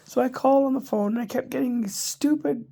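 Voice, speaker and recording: A woman's voice: high-pitched, a little fast, vocal fry, dark tone. An older woman chatting casually to her camera, congested and stuffy, rambling along with wry asides. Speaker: vlogger.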